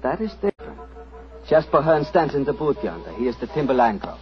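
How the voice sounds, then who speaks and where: A man's voice: mid-range voice, big pitch swings, fairly quick, close to an everyday voice. An actor playing a grave, guarded Romany man with formal, stilted phrasing, in 1940s radio style. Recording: muffled old radio drama, music.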